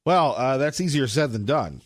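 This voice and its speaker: A man's voice: medium pitch, lively intonation, normal speed, no room sound, studio quality. A man hosting a morning talk radio show, conversational and pushing back with questions.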